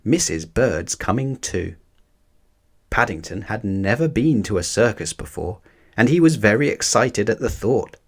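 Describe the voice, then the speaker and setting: A man reading a children's picture book aloud as an expressive storyteller for young listeners. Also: fairly low pitch, normal speed.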